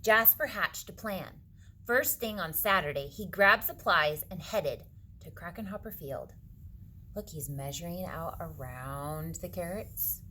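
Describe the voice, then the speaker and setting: A woman reading a picture book aloud to children, dramatically, with hushed suspenseful moments and asides to her young listeners. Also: fairly low pitch, lively intonation, unhurried, slight background noise. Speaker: read-aloud storyteller for children.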